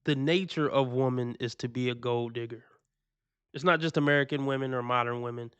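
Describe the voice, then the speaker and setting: A man's voice: medium pitch, steady tone, fairly quick, a bit bright, clean tone, dry recording. A man hosting a stream, reacting to clips in slangy, casual talk, laughing and repeating his points for emphasis.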